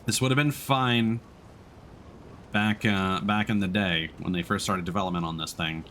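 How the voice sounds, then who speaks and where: A man giving casual let's-play commentary as he streams a game, thinking aloud in a halting way. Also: fairly low pitch, steady tone, a bit bright, a little hiss or hum. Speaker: streamer playing a video game.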